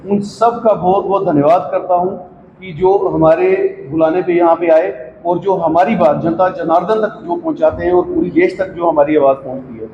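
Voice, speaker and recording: Man, high pitch, courteous formal vote of thanks, repetitive, long reverb. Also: steady tone, moderate pace. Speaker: party representative closing press conference. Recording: dull sound, echoey reverberant room, faint noise.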